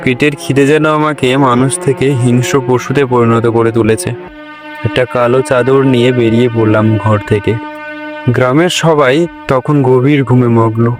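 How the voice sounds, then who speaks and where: A man's voice: mid-range voice, fairly even intonation, measured pace. A man narrating a scripted folk tale for a cartoon as its storyteller. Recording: loud background music.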